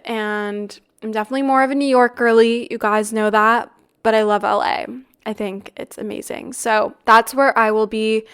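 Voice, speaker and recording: A woman in her twenties hosting a podcast in casual chat, a little congested and raspy from the flu, upbeat and chatty with lots of "like" and "really". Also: mid-range voice, fairly bright tone. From Canada. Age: 20s. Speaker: podcast host.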